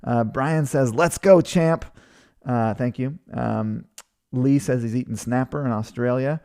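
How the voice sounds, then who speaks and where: Chatty guy from hawaii hosting a webinar, gravelly and mellow, lots of ums and uhs. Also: medium pitch, unhurried. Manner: rambling, chatty, many fillers and restarts. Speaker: webinar host. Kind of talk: casual chat reading viewer comments.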